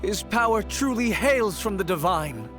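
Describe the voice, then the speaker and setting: A man's voice: high voice, lively intonation, unhurried, music in the background. An actor playing an earnest young man who trusts a self-styled sage to heal his sick father. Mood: hopeful.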